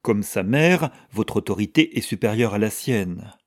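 A man voicing a serious, indignant young woman with a pleading, forceful manner in an audiobook. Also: fairly low pitch, unhurried, no echo, clean studio sound, lightly acted. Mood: earnest pleading. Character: earnest, indignant, impatient young woman protecting her friend.

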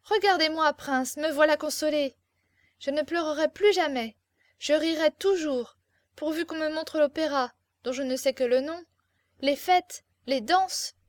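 A woman's voice: high voice, moderate pace, dry recording, studio quality, pitched above the performer's own voice, lightly acted. A woman reading a storybook, voicing a fiery, imperious young captive beauty with impassioned, theatrical exclamations. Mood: defiant, exuberant.

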